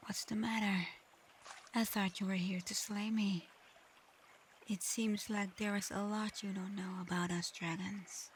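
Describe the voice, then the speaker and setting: A woman playing a gentle, remorseful shapeshifting dragon in human form for an ASMR roleplay, speaking softly and haltingly, often close to a whisper. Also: mid-range voice, level tone, slight background noise, lightly acted. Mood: teasing, knowing. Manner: soft, breathy, often near-whispered, halting.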